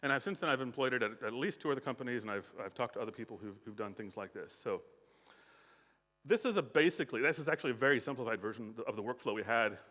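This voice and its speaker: A man's voice: medium pitch, expressive, fast, pushed and bright. An American man giving a casual conference talk, with frequent fillers and restarts. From United States. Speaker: conference speaker. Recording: reverberant hall.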